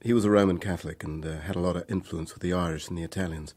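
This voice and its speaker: A man's voice: low-pitched, steady tone, rapid-fire, darkish tone. An actor playing a troubled young man making a hesitant, candid confession in a radio drama. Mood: burdened, rueful.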